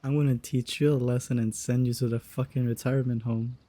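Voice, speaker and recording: A man's voice: mid-range voice, steady tone, fairly quick, warm tone. A man doing casual gaming reaction commentary, laid-back, sweary and amused. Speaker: gamer reacting to game story.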